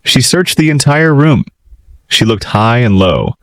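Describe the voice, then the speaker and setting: A man narrating a fairytale as a storyteller, in an expressive, theatrical style. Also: medium pitch, measured pace, no room sound. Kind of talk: dramatic children's fairytale narration.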